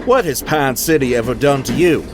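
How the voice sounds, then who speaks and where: A man's voice: brisk, natural voice. A man playing a thoughtful, questioning steam train crewman in an audio drama, speaking in a curious, reflective way. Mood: curious, easygoing. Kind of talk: amateur audio drama acting. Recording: noisy rumbling train background.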